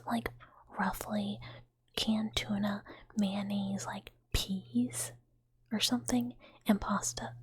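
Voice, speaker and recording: Breathy whispering woman, playful ASMR chat. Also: on the high side. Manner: rambling, playful, many fillers. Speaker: ASMR streamer.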